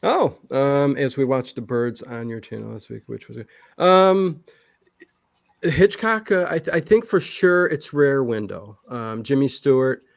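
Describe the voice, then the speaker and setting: A man speaks as a guest in a casual interview. He is long-winded, often interrupting himself and starting again. His voice sits at an ordinary pitch in a very dry recording. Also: animated, creaky, no room sound. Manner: long-winded, halting with many self-interruptions. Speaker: guest, online show creator.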